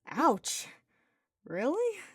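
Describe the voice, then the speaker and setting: A woman's voice: sits high, wildly swooping pitch, extremely slow, no room sound, studio quality, voice pushed up, heavily acted. A woman voicing a cocky, teasing young male knight in an audiobook, casual and slangy. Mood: playful teasing. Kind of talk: audiobook character voice.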